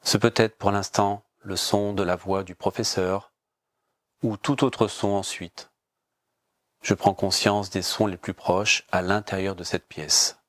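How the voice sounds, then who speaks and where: Low-voiced man guiding a calm meditation. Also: no echo, studio quality. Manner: calm, measured, repetitive instructing. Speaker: yoga nidra teacher. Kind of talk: guided relaxation meditation, spoken instructions.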